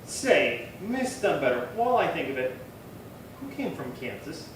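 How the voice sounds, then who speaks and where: A man's voice: on the high side, very animated, a little slow. A man acting a polite, curious police detective in a 1950s-style radio crime drama, questioning plainly and deferentially. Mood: casual, curious. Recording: very echoey, distant, noisy room.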